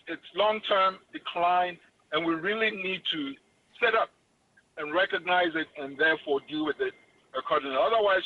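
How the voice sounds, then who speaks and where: A Ghanaian man with a Ghanaian English accent, a guest expert speaking emphatically in a lecturing way during an interview. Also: fairly high pitch. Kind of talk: interview on education. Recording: muffled radio broadcast with faint noise.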